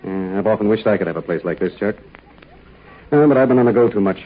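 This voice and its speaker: A man plays a calm, reflective aviator hero with a low-pitched, measured delivery. It is 1940s radio drama acting. The recording is narrow and tinny, with clear background noise. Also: natural voice. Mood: thoughtful, concerned. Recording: noisy, tinny 1940 radio broadcast.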